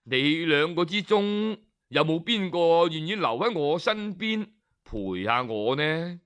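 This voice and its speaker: A man voicing an old male hermit sage who gives slow, grave counsel with heavy sighs. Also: a little high, lively intonation, fairly bright tone, clear, resonant tone. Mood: grave, sorrowful.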